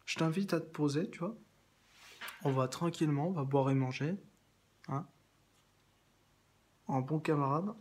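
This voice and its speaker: A man with a husky, slightly breathy voice talks straight to one absent listener, addressing him by name and inviting him over. He rambles, circles back to the same phrases and restarts his sentences often. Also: fairly high pitch, measured pace, pauses often, a little mellow. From France. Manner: meandering, repeats himself, many restarts and fillers. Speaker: video blogger addressing someone. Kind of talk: rambling direct-address monologue.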